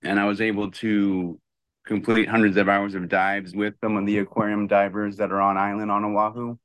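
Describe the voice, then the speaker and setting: A man on a video call giving earnest public testimony in a measured way. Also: on the low side, level tone, fairly bright tone, clean tone, no echo. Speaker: public commenter.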